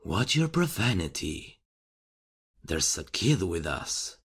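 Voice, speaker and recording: A man voicing a calm, mocking vigilante killer who speaks slowly and with quiet menace in an ASMR horror roleplay. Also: low voice, breathy edge. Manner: slow, teasing, quietly menacing.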